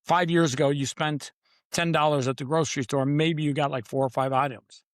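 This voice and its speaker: A man's voice: fairly high pitch, fairly even intonation, fairly quick, dry recording, studio quality. A man speaking as an interview guest, conversational but emphatic, in short punchy declarations with dramatic repetition. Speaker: podcast guest, author.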